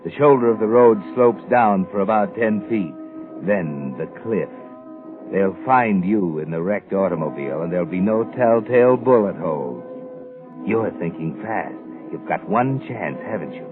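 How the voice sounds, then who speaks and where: Low, ominous radio suspense narrator. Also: male voice, animated, moderate pace. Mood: urgent, insinuating. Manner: fatalistic, insinuating, taunting. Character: ominous, knowing male narrator taunting the doomed. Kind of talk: 1940s radio suspense narration in second person. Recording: muffled vintage 1940s radio, music behind.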